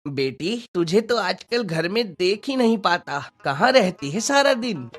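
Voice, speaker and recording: A woman's voice: medium pitch, expressive, a little slow, natural voice. A woman voicing a stern, poor village father who scolds and admonishes, in a cartoon story. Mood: stern, admonishing. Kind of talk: Hindi cartoon story dialogue. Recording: music under the voice.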